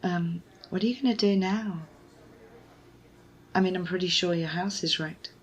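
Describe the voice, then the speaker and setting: A woman's voice: fairly low pitch, moderate pace, muffled recording, natural voice. A woman playing a wry, evasive young rescuer in an intimate ASMR audio roleplay, with a sardonic, hesitant manner. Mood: awkward, reassuring. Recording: noisy ambience behind close voice.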